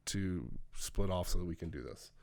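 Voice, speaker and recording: A man's voice: sits low, moderate pace, vocal fry, darkish tone. A man co-hosting a podcast in casual, rambling chat, swearing now and then. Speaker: podcast co-host.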